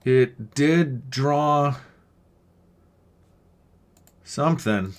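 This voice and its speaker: A man's voice: medium pitch, extremely slow. A man giving casual livestream commentary as a game developer, thinking aloud as they work and answering chat. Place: indoors at a computer desk.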